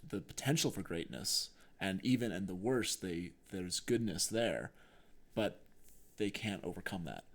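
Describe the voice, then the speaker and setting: A man with a slightly low voice speaks as a podcast co-host. He talks casually and earnestly, as in a conversation. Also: lively intonation, unhurried.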